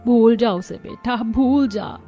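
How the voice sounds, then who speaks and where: A woman's voice: fairly high pitch, slow pace, warm tone, faint music behind. A woman voicing a worried, doting older mother in a cartoon story, fretful and affectionate. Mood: worried, consoling.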